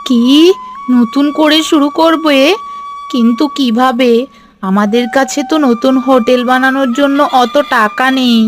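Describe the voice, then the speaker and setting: A woman plays a worried village housewife in a cartoon, speaking. Her high voice is plaintive yet supportive, laid over music. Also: fairly even intonation, unhurried. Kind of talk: Bengali cartoon voice acting.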